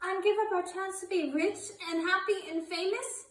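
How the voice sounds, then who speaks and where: Young woman as eager, high-voiced daughter. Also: steady tone, normal speed, a bit bright, recorded from a distance. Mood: teasing. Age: young adult. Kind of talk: amateur high school stage acting. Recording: echoey room.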